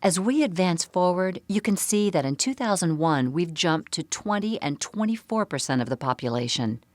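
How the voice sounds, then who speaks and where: A woman giving a scripted, explanatory narration for a medical presentation in a measured way. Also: fairly low pitch, animated, no echo. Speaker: seminar narrator.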